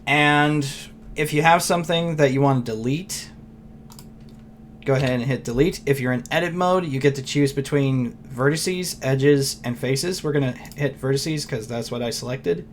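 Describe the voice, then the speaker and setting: A man with a hoarse, worn voice walks through a screen tutorial in a loose, rambling way, often stopping to clear his throat. His pitch sits in a plain middle range. Faint keyboard typing ticks along behind him. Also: moderate pace, slight background noise. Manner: rambling, loose, thinks aloud with fillers. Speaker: software tutorial teacher. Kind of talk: casual screen-recorded tutorial.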